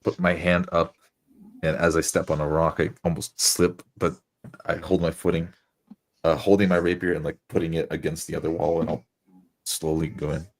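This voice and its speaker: Very deep-voiced male roleplayer. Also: little pitch movement, moderate pace. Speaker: tabletop roleplay player. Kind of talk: in-character roleplay, first-person action narration.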